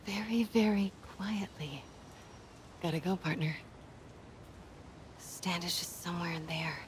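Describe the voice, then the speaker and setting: An actress voicing a determined young woman sneaking around in a video game, speaking in hushed half-whispers. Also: on the low side, unhurried, slight background noise, natural voice. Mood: tense, hushed. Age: young adult. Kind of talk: game voice acting.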